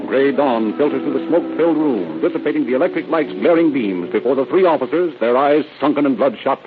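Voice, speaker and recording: Fast, dramatic American radio narrator, male. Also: medium pitch, lively intonation, narrow, tinny audio, over music. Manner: dramatic, florid delivery. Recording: thin old radio recording.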